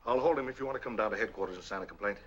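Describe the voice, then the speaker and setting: A man's voice: fairly low pitch, very fast, slightly rough, muffled recording. A man acting in a 1940s film as a skeptical police captain, authoritative and dismissive, on an old film soundtrack. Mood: stern, skeptical. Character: brisk, skeptical small-town police captain.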